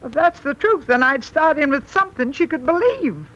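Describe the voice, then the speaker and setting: A woman's voice: sits high, animated, moderate pace, fairly bright tone. An actress playing a wry, kindly, plain-spoken old grandmother in a 1930s radio comedy. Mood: wryly advising. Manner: folksy, wry. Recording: dull 1930s radio recording.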